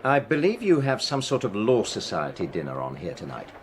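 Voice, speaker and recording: An actor playing a wronged, coldly sarcastic husband in a radio drama, with a dry, deliberate manner. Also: male voice, fairly low pitch, huge pitch swings, slight background noise. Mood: curt, insistent. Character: wronged husband, former rugby man, coldly sarcastic and controlled. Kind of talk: naturalistic radio drama acting.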